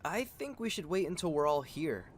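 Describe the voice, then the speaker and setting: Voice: big pitch swings, a little fast, close to an everyday voice. A performer playing a friendly, sincere young boy hero in an anime-style game fan dub. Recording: faint rumbling noise behind.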